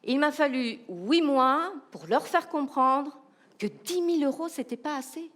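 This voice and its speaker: A woman with a Belgian French accent giving a humorous, animated keynote talk, asking the audience rhetorical questions. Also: high voice, unhurried. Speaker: keynote speaker, professional coach.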